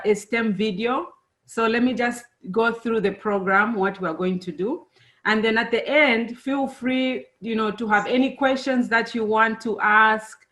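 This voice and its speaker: A middle-aged woman born and raised in Zambia, giving a friendly, unhurried presentation to students, with many fillers. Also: a little high, fairly bright tone. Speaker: engineer giving a talk to students.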